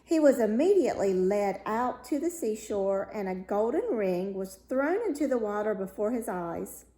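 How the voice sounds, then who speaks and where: Female fairy tale narrator, mellow and unhurried, expressive delivery, roomy sound. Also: mid-range voice. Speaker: story reader.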